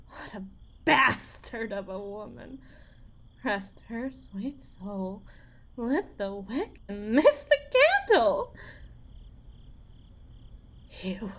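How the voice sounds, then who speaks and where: A woman acts out a desperate farm wife in an ASMR roleplay, her voice fairly high. She speaks very slowly and haltingly, with folksy, theatrical turns and a sardonic edge, sounding wounded and worn down. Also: exaggerated voice. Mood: bitter, muttering, then wary, sardonic. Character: desperate, wry, wounded pregnant farm wife bargaining with demon. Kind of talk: ASMR dramatic roleplay monologue.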